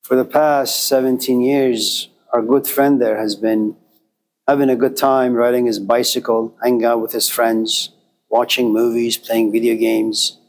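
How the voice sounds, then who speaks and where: A man's voice: mid-range voice, flat delivery, measured pace, poor audio. A man of Persian background giving a classroom lecture, speaking rhetorically and driving points home through emphatic repetition. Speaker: teacher.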